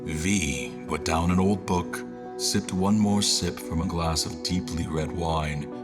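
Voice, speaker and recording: A man's voice: sits low, steady tone, slow pace. A man playing a wry crew member who narrates a scripted fantasy audio drama in ornate, descriptive language. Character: male crew member narrating his memories, literary and wry. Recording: prominent music behind. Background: music.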